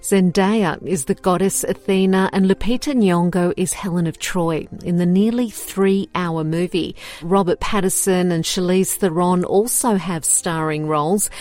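A woman narrating a scripted news report in a measured, even tone. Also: on the low side.

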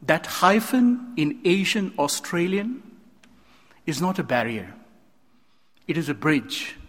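Earnest male orator, fairly high voice, acceptance speech. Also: lively intonation, takes it slowly, a bit bright. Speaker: award speech giver.

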